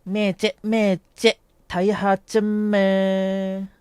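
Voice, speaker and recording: A woman acting a lovestruck, excitable and cheeky teenage schoolboy in a youth radio drama, with a Hong Kong accent. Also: medium pitch, very slow, clean tone, no room sound, studio quality. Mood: teasing, smug.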